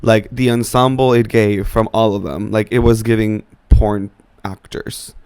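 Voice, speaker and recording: A young man co-hosting a casual podcast, talking in a low, raspy voice after an illness, telling stories with drawn-out emphasis. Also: flat delivery, darkish tone, no echo. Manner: chatty storyteller, drawn-out emphasis. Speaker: podcast co-host. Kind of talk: casual chat.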